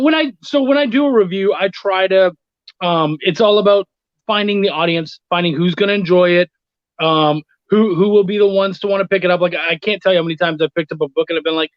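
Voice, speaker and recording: A man's voice: sits high, dry recording. A man speaking as a guest in a casual interview, in an informal, conversational way, over slightly lo-fi call audio. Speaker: guest, comics writer, editor and reviewer.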